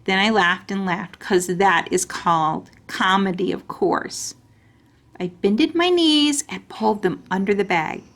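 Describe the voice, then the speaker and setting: A woman's voice: medium pitch, measured pace, a bit bright. Woman narrating a children's storybook.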